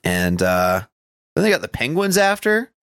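A man's voice: mid-range voice, very animated, moderate pace, gravelly, fairly bright tone, smooth, no echo. A man co-hosting a podcast, chatting casually about sports in a rambling, conversational way. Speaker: podcast co-host.

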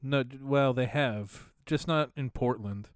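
A man's voice: mid-range voice, unhurried, no echo, clean studio sound. Joking man in casual chat. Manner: joking storyteller, laughs often.

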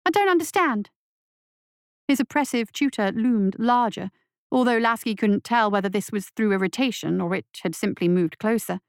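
A woman narrating a dark fantasy story in a scripted, dramatic audiobook reading style. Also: mid-range voice, normal speed, clear, resonant tone, no echo, clean studio sound. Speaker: story reader.

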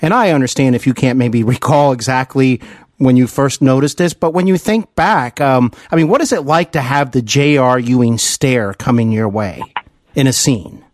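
A man's voice: mid-range voice, normal speed, dry recording, studio quality. A man hosting a conversational podcast interview, effusive and wordy, feeling his way into each question. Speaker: podcast host interviewing a guest.

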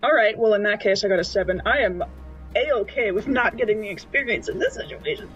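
A woman's voice: medium pitch, fairly quick, fairly bright tone, lo-fi sound, soft background music. A woman playing a tabletop game, chatting casually and describing her moves in an informal, playful way.

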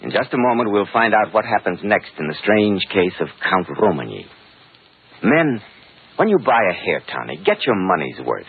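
A man announcing a 1940s radio advertisement with a husky voice and emphatic sales delivery. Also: on the low side, big pitch swings, normal speed, a little hiss or hum. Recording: tinny, narrow 1940s radio recording.

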